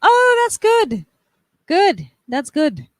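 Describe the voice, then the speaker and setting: A woman's voice: very high voice, huge pitch swings, very slow, no echo, clean studio sound. A young woman from the United States hosting a live stream, chatting casually and reading viewer comments aloud, often laughing and restarting her sentences.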